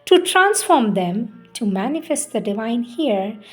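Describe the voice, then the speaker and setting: A woman giving a solemn devotional reading of spiritual teachings, deliberate and emphatic, pausing between phrases. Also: a little high, sing-song, soft background music.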